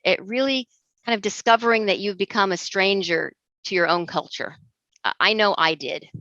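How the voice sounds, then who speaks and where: Middle-aged woman presenter, earnest and explanatory, mid-pitched, dry close sound on a dull lo-fi recording. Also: a bit bright, no room sound. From Egypt. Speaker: intercultural trainer.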